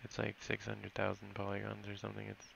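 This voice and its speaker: A man's voice: on the low side, completely flat delivery, quick pace, gravelly, muffled recording, noisy background. An American man from California, rambling and correcting himself as he thinks aloud in a casual game dev log recorded at his computer. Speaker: game developer.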